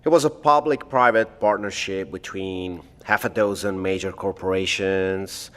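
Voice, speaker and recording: A formal, pedantic scientist in an audio drama. His low male voice is precise in delivery and carries a long, hollow reverb. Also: unhurried, fairly bright tone. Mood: pedantic, measured.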